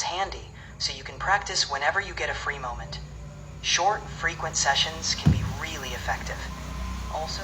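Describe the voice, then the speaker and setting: A calm, even-toned synthetic assistant voice that gives advice in smooth, scripted replies. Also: medium pitch, recorded from a distance, background noise. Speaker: AI chat assistant.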